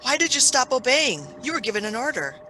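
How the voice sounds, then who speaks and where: A woman's voice: high voice, big pitch swings, a little fast, pushed and bright, a little hiss or hum, exaggerated voice. A woman playing an eager, obsessive scientist in a scripted radio play, theatrical and defensive. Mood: excited. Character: excitable, obsessive scientist, defensive about the experiment.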